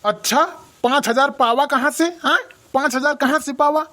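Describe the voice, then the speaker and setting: A man's voice: very high-pitched, steady tone, moderate pace, bright, forceful tone. A man voicing a scolding, sarcastic village father in a comic cartoon, with an Awadhi accent. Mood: indignant mocking. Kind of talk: comic rustic cartoon dialogue.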